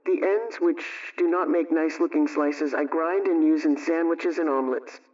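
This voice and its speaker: A man reading a scripted vintage radio homemaking show as host, giving measured recipe instructions. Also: fairly high pitch, steady tone, darkish tone. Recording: dull, distant old-radio sound.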